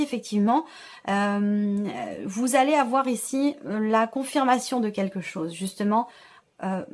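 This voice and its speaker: Female tarot host, slightly high register, conversational and reassuring delivery aimed straight at the viewer, with searching, repeated phrasing. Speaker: tarot reader, channel host.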